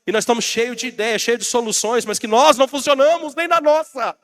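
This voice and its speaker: A man's voice: extremely high pitch, steady tone, a little fast, a bit bright. A Brazilian man preaching a sermon as pastor, rousing and calling out to his congregation, while he has a bad cold. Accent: Brazilian Portuguese.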